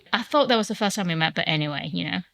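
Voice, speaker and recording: A woman's voice: fairly low pitch, gravelly, a bit bright, no room sound. A woman hosting a casual podcast, blunt and teasing, who swears freely as she chats with a friend. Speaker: podcast host. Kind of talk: casual chat.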